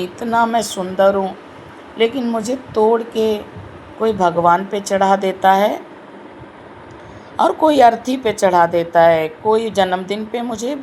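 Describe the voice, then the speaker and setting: A woman with a sore throat giving a slow, earnest devotional talk in an online video. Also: mid-range voice, darkish tone, slight background noise, poor audio. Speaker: spiritual video host.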